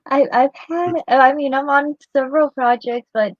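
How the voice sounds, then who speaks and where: A woman's voice: high voice, fairly even intonation, unhurried, no room sound, muffled recording. A woman who grew up in Vietnam, a guest artist chatting casually on a livestream, giggly and hesitant with fillers.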